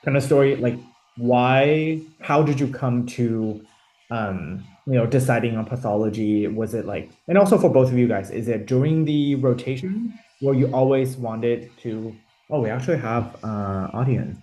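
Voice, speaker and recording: Mellow-voiced man moderating a panel. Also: medium pitch, moderate pace. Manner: rambling with many fillers and restarts. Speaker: host and moderator.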